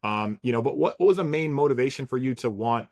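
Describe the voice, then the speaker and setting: A man's voice: medium pitch, expressive, fast, a little mellow, no room sound. A man interviewing a client over a video call, friendly, asking long questions with plenty of fillers. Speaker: company interviewer.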